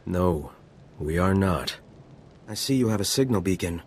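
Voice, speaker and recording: An actor playing a principled, disapproving apprentice monk with grave, formal speech in a video game. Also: male voice, sits low, lively intonation, measured pace, darkish tone, slightly rough, full character voice.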